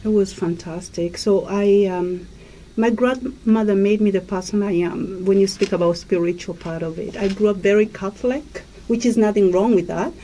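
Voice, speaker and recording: A woman from Kenya, a life coach, telling her story as a guest in a radio interview in a gentle, storytelling way. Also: mid-range voice, a little hiss or hum, rough recording.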